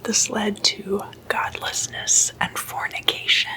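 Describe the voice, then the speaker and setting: A woman speaking in a hushed ASMR whisper, unhurried and in short halting phrases, like an informal late-night lecture. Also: rough recording. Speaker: ASMR host.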